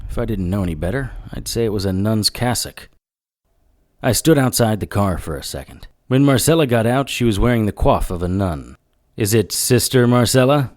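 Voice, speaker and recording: A man's voice: on the low side, expressive, moderate pace, natural voice. An actor playing a wry, sardonic hard-boiled investigator who narrates his own story in an audio drama. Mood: cool, guarded.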